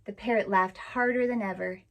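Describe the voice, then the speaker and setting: A woman reading a children's picture book aloud, gentle and expressive, now and then asking the listener a question. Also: mid-range voice, moderate pace. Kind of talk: read-aloud storytelling.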